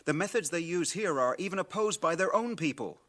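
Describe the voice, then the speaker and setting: An actor playing an idealistic foreign reporter in a radio drama, earnest, indignant and emphatic. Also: male voice, on the high side, animated, fairly quick, fairly bright tone. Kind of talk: 1980 radio drama acting.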